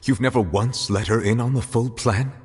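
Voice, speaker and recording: An actor playing a grave, stately judge who is secretly an ancient dragon in a video game, speaking with formal, solemn restraint. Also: male voice, mid-range voice, steady tone, normal speed, a little mellow, natural voice. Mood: solemn, probing. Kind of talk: scripted video game acting. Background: music.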